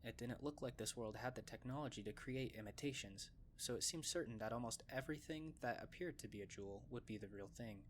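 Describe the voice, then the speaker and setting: A man's voice: mid-range voice, slight background noise. A man narrating a scripted story in an audiobook style.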